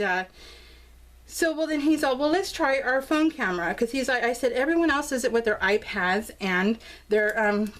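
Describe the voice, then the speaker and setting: A woman's voice: fairly high pitch, a bit bright. An American woman chatting casually and informally in a bubbly, gushing way as she shows her craft project. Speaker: craft vlogger showing her scrapbook album. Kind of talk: casual chatty narration of a craft show-and-tell.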